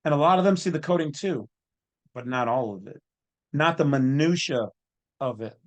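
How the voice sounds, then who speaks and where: A man's voice: on the high side, animated, moderate pace. A man delivering informal, off-the-cuff commentary as a channel host, with a colloquial, opinionated way of talking.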